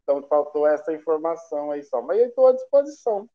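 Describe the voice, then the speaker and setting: Man, 50s, teacher, higher voice, muffled video call, friendly and joking. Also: expressive, fast talker. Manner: affable, self-deprecating humor. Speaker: high school chemistry teacher. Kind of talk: casual talk to students. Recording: dull lo-fi call audio.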